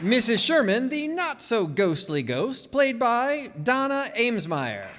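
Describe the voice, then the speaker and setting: Animated high-pitched male announcer, noisy hall. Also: a little slow. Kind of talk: theatrical old-time radio announcer reading cast credits. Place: town hall stage before an audience. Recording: echoey hall, noisy crowd behind. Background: crowd noise.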